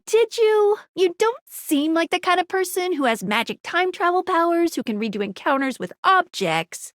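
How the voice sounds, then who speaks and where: High, perky, geeky game girl. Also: no echo, clean studio sound, exaggerated voice. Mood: amazed, excited. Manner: peppy, quirky, slangy, stammers when flustered. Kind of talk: animated video game character dialogue.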